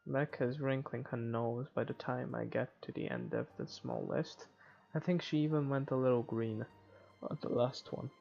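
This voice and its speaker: Casting: woman let's-player, very deep gravelly voice, casual reading with wry asides, lo-fi mic, game music behind. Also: steady tone, normal speed. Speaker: gamer.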